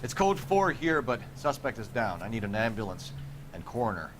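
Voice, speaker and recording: An actor playing a composed, businesslike police detective reporting in, in a video game. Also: male voice, mid-range voice, very animated, moderate pace, very noisy, lightly acted. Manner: businesslike, procedural. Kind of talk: police radio call.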